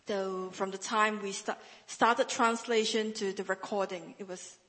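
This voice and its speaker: A woman's voice: medium pitch, fairly even intonation, measured pace, fairly bright tone. Earnest woman in a reverberant hall. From northern Malaysia. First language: a Chinese dialect. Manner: earnest, frequent restarts and repeated words. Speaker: guest speaker, Bible translator. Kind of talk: testimony speech in church.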